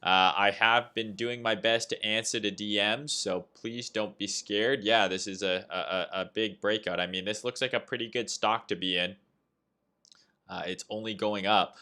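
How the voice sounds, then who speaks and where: Bright-voiced Canadian man talking trading. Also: on the low side, fairly even intonation, normal speed. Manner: loose and chatty, reads chat aloud, frequent "uh" and "right?". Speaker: livestream host, trading analyst. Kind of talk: casual livestream market commentary.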